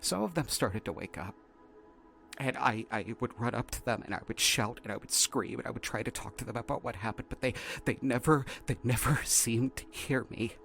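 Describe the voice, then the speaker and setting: Man playing a bright-voiced, shaken researcher. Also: mid-range voice, vocal fry, faint music behind. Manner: halting, stammering, haunted. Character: shaken, earnest male researcher recording testimony. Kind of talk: dramatic first-person audio drama narration.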